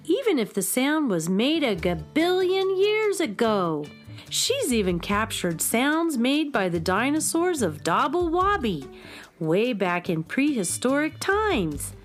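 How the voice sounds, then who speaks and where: Animated young music student. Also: female voice, a little high, takes it slowly. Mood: admiring. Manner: emphatic, explanatory. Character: eager young music student, knowing adoring fan. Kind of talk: children's radio drama acting.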